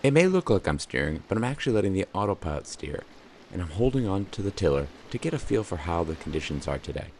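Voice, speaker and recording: A man with a very deep voice narrates a scripted voice-over about sailing. He keeps an even, measured delivery, lightened by dry, wry asides, and his pitch moves freely across a wide, lively range. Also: a little hiss or hum. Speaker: solo sailor and channel host.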